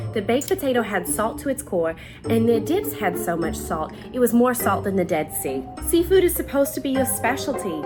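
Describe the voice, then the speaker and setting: A woman playing an exasperated restaurant customer in a melodramatic, emphatic dramatic reading of a complaint. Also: fairly high pitch. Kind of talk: dramatic reading of an online review.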